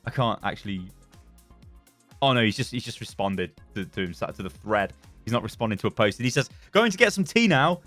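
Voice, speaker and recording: A man's voice: fairly low pitch, expressive, fairly quick, fairly bright tone, soft background music. A young British man chatting casually as a gaming YouTuber, rambling and laughing as he reads and reacts to forum posts. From Britain.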